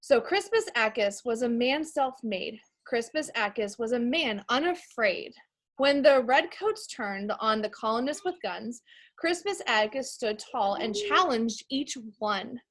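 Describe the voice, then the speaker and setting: Casting: American female elementary teacher, slightly high voice, informal read-aloud for kids with plain explanations. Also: animated, a little slow, a bit bright. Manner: addresses listeners as friends, informal, explanatory. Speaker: elementary school teacher reading a picture book to pupils. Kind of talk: read-aloud lesson for young children.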